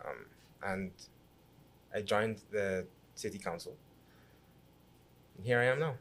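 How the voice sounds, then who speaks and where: Guyanese guy in his 30s on a podcast, lowish voice, chatty, keeps restarting sentences. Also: slow pace, a little hiss or hum. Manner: animated storyteller with frequent restarts and repeated words. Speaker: guest, businessman and city councillor. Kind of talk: conversational podcast interview.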